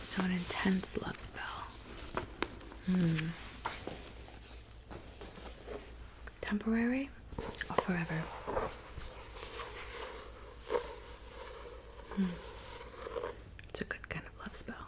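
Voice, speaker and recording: A woman playing a calm, helpful woodland witch in a soft, whispered ASMR roleplay, unhurried and murmuring. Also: on the low side, close to an everyday voice. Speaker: ASMR performer.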